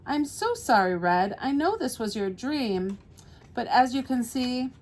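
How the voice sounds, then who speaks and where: A woman's voice: on the high side, big pitch swings, measured pace, a little mellow, voice pushed up. A woman voicing an impulsive, easily upset blue paint splatter from a picture book, with exaggerated emphasis. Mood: pouting, dejected.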